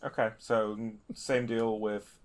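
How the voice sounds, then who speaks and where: A man's voice: fairly low pitch, flat delivery, slow. A man playing a tabletop game, casually speaking in character and describing his actions.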